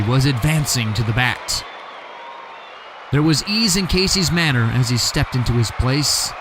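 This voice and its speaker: Male poetry reader, fairly high voice, theatrical delivery, audible background noise. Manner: theatrical, swings pitch and pace for emphasis, bursts into shouts. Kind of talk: dramatic poetry recitation.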